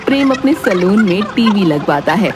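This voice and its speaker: A woman narrator with a mid-pitched voice and very lively intonation. She reads a scripted tale over clear background music. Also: normal speed.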